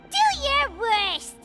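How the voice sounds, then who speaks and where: An actress voicing a small, bold, inquisitive adventurer companion in a video game, emphatic and childlike. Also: female voice, very high-pitched, sharp tone, exaggerated voice. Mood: defiant. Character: bright, bold, high-voiced young game adventurer companion. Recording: music clearly under the voice.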